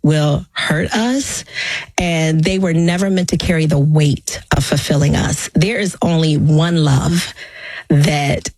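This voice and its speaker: A woman's voice: low-pitched, takes it slowly, a little mellow, no room sound. A woman guest-hosting a radio interview. She opens with a scripted intro and then asks eager questions. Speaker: guest host.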